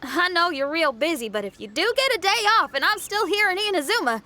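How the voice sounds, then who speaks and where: A woman's voice: extremely high pitch, expressive, a little fast, bright, forceful tone, heavily acted. A woman voicing a bold, spirited young female street musician in a game, casual and direct in how she talks. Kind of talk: video game cutscene dialogue.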